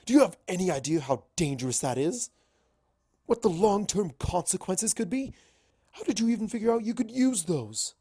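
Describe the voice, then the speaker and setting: A performer doing a gruff, cynical old wizard stallion, creaky. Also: medium pitch, expressive, normal speed, dark tone, no echo, clean studio sound, voice pushed up. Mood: incredulous. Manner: emphatic, scoffing, lecturing. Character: Starswirl, old male wizard pony, gruff, cynical, blunt.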